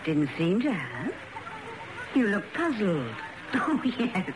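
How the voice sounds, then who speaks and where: Coy, low-voiced British society woman. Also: wildly swooping pitch, slow. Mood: teasing, probing. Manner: teasing, coolly inquisitive. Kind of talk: radio drama acting. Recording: very noisy old radio recording.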